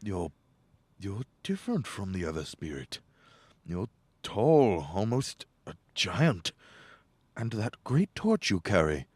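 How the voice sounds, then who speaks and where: A man's voice: on the low side, big pitch swings, takes it slowly, darkish tone, slightly rough, no echo, heavily acted. A man acting a humbled, awed old miser in a radio play, earnest and hesitant.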